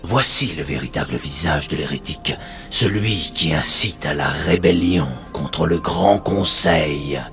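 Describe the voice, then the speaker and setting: A man playing a solemn, calculating alien high prophet in a video game, speaking in a stately, measured, authoritative manner. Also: sharp tone, faint music behind, heavily acted. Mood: solemn, persuasive. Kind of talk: dramatic video game cutscene acting.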